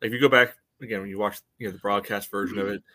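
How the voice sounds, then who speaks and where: A man in a casual sports discussion as a podcast co-host, speaking haltingly and often saying "you know". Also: lowish voice, steady tone, pushed and bright, no room sound.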